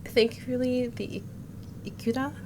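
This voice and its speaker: A woman's voice: high voice, fairly even intonation, measured pace, noisy background. A woman in her thirties reading a visual novel aloud and adding casual commentary as a game streamer. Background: game music and Japanese game voices.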